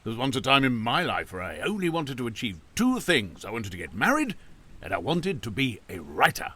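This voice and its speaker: An actor playing a genial, wistful older uncle in an audio drama, with drawn-out, avuncular, old-fashioned phrasing. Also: male voice, mid-range voice, huge pitch swings, sharp tone, heavily acted. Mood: wistful, musing. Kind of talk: theatrical audio drama acting.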